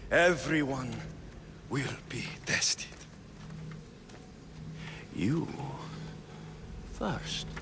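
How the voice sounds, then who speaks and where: A menacing masked cult leader, gravelly, slow and deliberate, swinging from hushed whispers to shouted orders; game cutscene with a loud score. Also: male voice, medium pitch, animated, noisy background. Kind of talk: video game cutscene acting.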